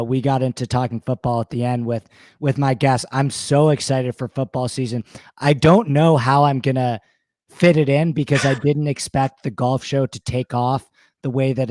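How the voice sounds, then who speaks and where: A man with a hoarse, mellow voice talks as a guest, rolling eagerly through stats and picks. His sound is dry and close, with no room around it. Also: medium pitch, dry recording. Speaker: guest sports betting analyst. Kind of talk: casual interview chat.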